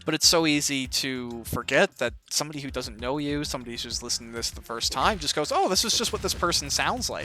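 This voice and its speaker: A man's voice: fairly high pitch, lively intonation, quick pace, few pauses, bright, forceful tone. A man hosting a casual interview, encouraging his guest with long, rambling questions. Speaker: interviewer, audiobook creator. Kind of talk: casual interview while playing a video game.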